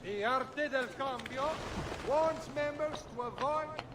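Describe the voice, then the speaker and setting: A man's voice: very high-pitched, slow, background noise. A man playing a formal Renaissance town crier with an Italian accent, making public proclamations in a video game. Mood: official. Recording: loud busy street ambience.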